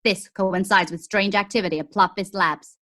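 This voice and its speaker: A woman's voice: medium pitch, fairly even intonation, moderate pace, fairly bright tone, natural voice. A woman playing a dramatic roving reporter giving a declamatory news bulletin in a spoof radio play. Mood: urgent. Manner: declamatory broadcast delivery.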